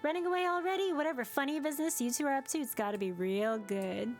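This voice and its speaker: A woman's voice: high voice, quick pace, faint music behind. A woman voicing a famous siren singer, playful and nosy, in a story game. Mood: teasing.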